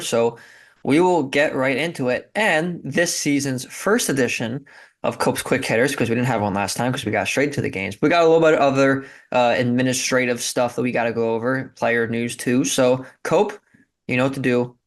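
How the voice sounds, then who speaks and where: A man's voice: mid-range voice, brisk. A man hosting a college basketball podcast, opening the show and handing off to his co-host in an upbeat, casual way. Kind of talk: casual sports talk.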